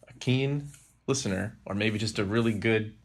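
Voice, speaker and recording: A man hosting a podcast, telling stories in a casual, rambling, halting way with frequent self-corrections. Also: on the low side. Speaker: podcast host.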